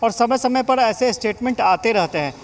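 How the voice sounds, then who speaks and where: High-pitched male live news reporter. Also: moderate pace.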